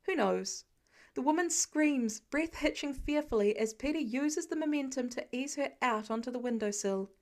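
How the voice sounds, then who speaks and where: A woman with a fairly high voice narrates a piece of fiction. She reads from a script in audiobook style, telling the story in the present tense. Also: moderate pace, a little mellow. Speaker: podfic narrator.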